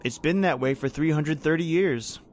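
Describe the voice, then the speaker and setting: A man's voice: on the high side, moderate pace, a little hiss or hum, close to an everyday voice. A man playing a grim, bitter factory overseer with folksy speech in an old-time style radio horror drama. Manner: folksy, bitter, uses "aye" and "ain't". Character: grim, taunting male factory overseer on an island. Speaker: actor in audio horror drama.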